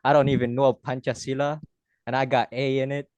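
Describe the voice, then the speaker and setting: A man's voice: medium pitch, flat delivery, brisk, darkish tone, clean tone, no echo, muffled recording. A young man who is an IT student and new to debating, from Kalimantan, talking casually in a hesitant, self-doubting way and laughing often. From Kalimantan, Indonesia. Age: young adult. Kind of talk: casual chat.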